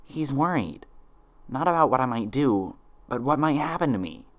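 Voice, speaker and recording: A man's voice: mid-range voice, lively intonation, normal speed, voice pushed up, close to an everyday voice. A man voicing a defiant, desperate young female unicorn in a storybook reading, with intense, emphatic delivery. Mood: desperate hope. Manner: intense, emphatic, shouting when angry.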